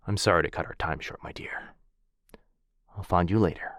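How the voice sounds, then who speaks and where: A man playing a protective vampire lord in an ASMR boyfriend roleplay, soft-spoken and tender with close whispers. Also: low-pitched, moderate pace, no echo. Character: protective young vampire lord, gentle but fierce.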